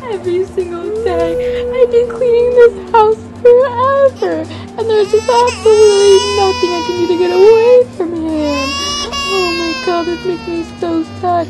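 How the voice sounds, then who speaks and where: A woman's voice: very high-pitched, measured pace, over loud music. A woman playing a hurt, defiant young daughter with a whiny, emphatic delivery in a game roleplay. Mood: tearful. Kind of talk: amateur voice-acted game roleplay.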